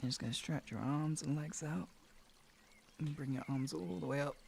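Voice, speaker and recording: A man with a deep voice hosting a relaxation stream, chatting informally and gently giving guided instructions. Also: moderate pace, vocal fry, a little hiss or hum. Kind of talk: casual chat moving into guided relaxation and hypnosis instruction.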